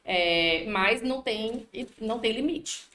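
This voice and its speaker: A woman language teacher from Brazil with a Piauí accent, answering interview questions in a chatty way and often adding "né?". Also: takes it slowly. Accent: Piauí Brazilian Portuguese. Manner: talkative, frequent "né?" tags, animated pitch jumps. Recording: echoey room.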